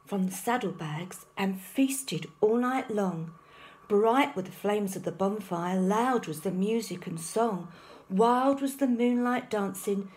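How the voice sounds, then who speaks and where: A woman reading a rhyming children's storybook aloud in an expressive narrating style. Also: medium pitch.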